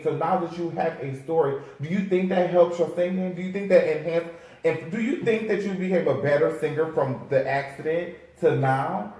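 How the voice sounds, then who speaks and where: A man's voice: fairly high pitch, darkish tone. An American man hosting a casual interview in an effusive, encouraging, sassy and slangy way. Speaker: host interviewing a guest; makeup artist. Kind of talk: casual, chatty interview talk. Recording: very echoey room, far from mic.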